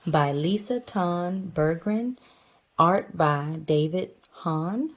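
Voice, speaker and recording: A woman's voice: on the low side, extremely slow. A woman reading a picture book aloud as a mother to her young child, chatting casually and encouragingly. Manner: encouraging, explains things to child.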